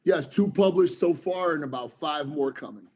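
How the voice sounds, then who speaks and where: A man, speaking a touch higher than most men. He talks earnestly and at length, with plenty of fillers. He sounds like a guest in a casual podcast chat. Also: moderate pace, mellow. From New Jersey, United States. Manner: earnest, rambling, frequent fillers. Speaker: author guest, former police officer.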